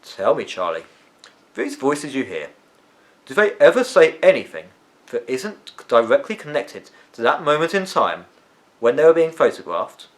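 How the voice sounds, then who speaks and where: A man's voice: medium pitch, big pitch swings, measured pace, voice pushed up. A man voicing a weary, tetchy but kindly eccentric uncle from a storybook, musing and emphatic in his delivery. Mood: curious, earnest. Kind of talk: storybook character voice.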